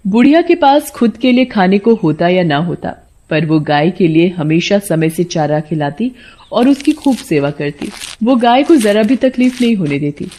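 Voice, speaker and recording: A woman's voice: fairly low pitch, dark tone. A woman storyteller reading scripted narration for a cartoon folk tale.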